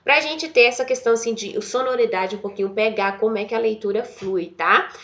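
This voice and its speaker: A woman's voice: on the high side, fast, a bit bright, highs cut off. A woman teaching English with a Brazilian Portuguese accent, reading a children's book aloud and explaining it, her voice affected by a cold. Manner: chatty, switches between reading and explaining, drills pronunciation. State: has a cold.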